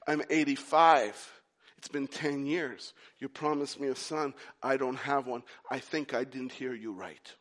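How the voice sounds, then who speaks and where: A man's voice: mid-range voice, steady tone, a little slow, fairly bright tone, voice pushed down, close to an everyday voice. A man putting on a very old man who keeps pleading and asking whether he heard a divine promise right. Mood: weary doubt. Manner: pleading, repeating questions.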